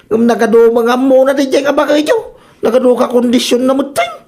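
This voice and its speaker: An actor playing an excitable, scheming husband in a radio comedy drama, exclaiming in an Ilocano accent. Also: male voice, very high voice, a little fast, heavily acted. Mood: defensive, scheming. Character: excitable husband scheming to father a son for inheritance.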